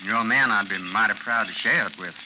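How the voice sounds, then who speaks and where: An actor playing a respectful, loyal former army subordinate, a little hesitant, in 1950s radio drama style. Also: male voice, fairly low pitch, fast talker, bright, forceful tone. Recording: noisy, crackling 1950s radio recording.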